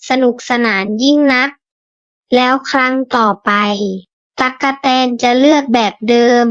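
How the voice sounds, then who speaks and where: A woman's voice: high-pitched, fairly even intonation, a little slow, a bit bright, dry recording, muffled recording. A woman voicing a curious, kind young child adventurer who speaks with wonder to young listeners in a storybook tale. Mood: wondering, cheerful. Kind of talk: children's story hosting and acting.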